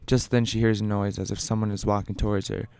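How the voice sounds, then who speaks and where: A man's voice: on the low side, level tone, fast talker, vocal fry, darkish tone, smooth. A man reading a scripted radio play as narrator in an amateur way, with noisy sound effects behind him.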